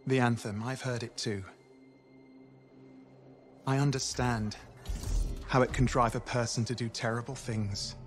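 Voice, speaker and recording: An actor playing a flamboyant, smug young turncoat in a video game, sardonic, chatty and given to theatrical self-pity. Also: male voice, medium pitch, fairly even intonation, unhurried, long pauses, faint music behind, lightly acted. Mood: sombre, apologetic.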